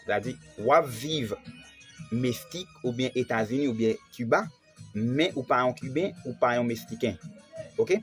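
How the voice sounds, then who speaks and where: A man from Haiti delivers a televised Spanish lesson at an ordinary pitch, going over each point again and again and putting weight on the key words. Faint music plays behind him. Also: lively intonation, moderate pace. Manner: repetitive, stresses key words. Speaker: Spanish teacher.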